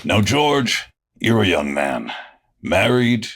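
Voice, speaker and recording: A man playing a miserly, imperious old tycoon in an old-time radio drama, with a gravelly, condescending delivery. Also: on the low side, big pitch swings, slow, a bit bright, a little hiss or hum, exaggerated voice. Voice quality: gravelly, husky.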